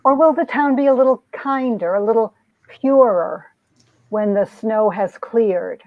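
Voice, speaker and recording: A woman's voice: a little high, unhurried. A woman playing a tender, wistful young heroine who earnestly narrates her 1950s comic-book romance, in dull, dry sound.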